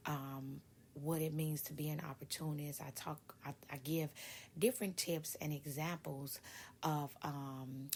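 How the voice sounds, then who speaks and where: A woman who writes and speaks on leadership, giving a casual motivational talk to her viewers in a hoarse voice, stressing her points by repeating them. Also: sits low, a little hiss or hum. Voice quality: raspy, husky. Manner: emphatic, repeats key points.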